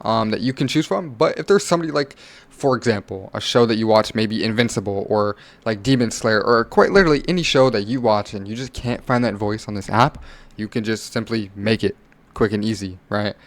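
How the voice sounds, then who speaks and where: A man's voice: lowish voice, fairly quick. A man narrating a casual gaming tutorial in an informal, rambling way, like a content creator talking to followers.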